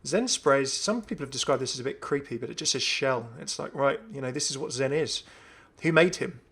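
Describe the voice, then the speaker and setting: A man's voice: mid-range voice, fairly quick, fairly bright tone, slightly rough. Chatty man hosting a gaming video. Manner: chatty, musing aloud, asks himself questions. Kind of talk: casual off-the-cuff commentary on game cosmetics.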